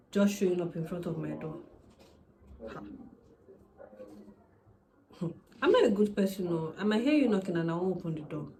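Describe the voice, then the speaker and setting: A young woman in her twenties, a native Yoruba speaker with a Nigerian accent, chatting casually and candidly to camera with quick asides. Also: medium pitch, darkish tone, a little hiss or hum. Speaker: vlogger. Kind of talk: casual sit-down advice chat.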